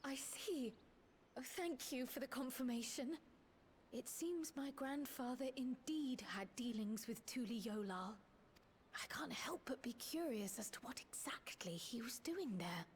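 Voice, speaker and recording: Joven noble de voz aguda, cortés. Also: female voice, high-pitched, lively intonation, slight background noise. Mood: polite, curious. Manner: formal, courteous, measured. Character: polite young scholarly noblewoman, earnest and inquisitive. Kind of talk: video game cutscene voice acting.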